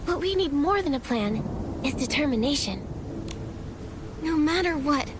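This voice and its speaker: High-voiced, determined young heroine. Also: female voice, lively intonation, unhurried, noisy background. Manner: earnest, confident. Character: determined, upbeat young female stylist adventurer. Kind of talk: video game cutscene acting. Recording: music behind the voice.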